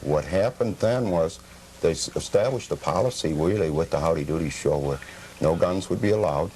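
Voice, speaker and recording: An American man giving informative interview answers as a collector guest, factual and slightly formal in a measured way. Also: sits low, darkish tone. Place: television studio. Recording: noisy, deteriorated old cable TV tape.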